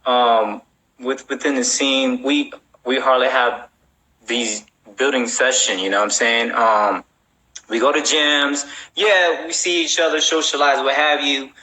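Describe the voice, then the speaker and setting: A man's voice: medium pitch, steady tone, unhurried, bright, forceful tone, poor audio. A man hosting a casual chat in a loose, slangy, rambling way with frequent check-ins. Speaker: host.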